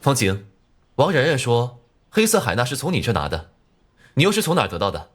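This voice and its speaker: An actor playing an earnest, excitable young junior policeman in an animated crime drama, deferential and eager. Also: medium pitch, fairly quick. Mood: serious.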